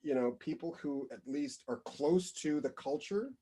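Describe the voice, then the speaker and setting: A man's voice: a little high, moderate pace, breathy edge. A man co-hosting a podcast, talking casually and working through his points with fillers. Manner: conversational, hedging with fillers, expressive. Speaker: podcast co-host. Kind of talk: casual chat.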